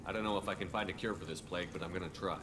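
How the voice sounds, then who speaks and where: Actor as a brisk, low-voiced heroic man. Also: roomy sound, natural voice. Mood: steady, questioning. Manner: direct, calm, matter-of-fact. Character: steady, compassionate human male soldier hero. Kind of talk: video game dialogue acting.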